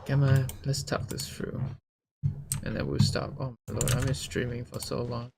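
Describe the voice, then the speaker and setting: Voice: medium pitch, little pitch movement, moderate pace, vocal fry, very noisy. A streamer giving casual live commentary over a video game. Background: game music, keyboard clicks.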